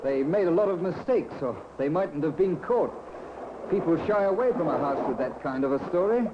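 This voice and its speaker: A cold married lover played by an actor, curt and impatient, with a lively, swooping pitch. It is old radio drama acting, muffled under clear noise. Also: male voice, normal speed, lightly acted. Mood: matter-of-fact. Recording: muffled, noisy 1950s radio recording.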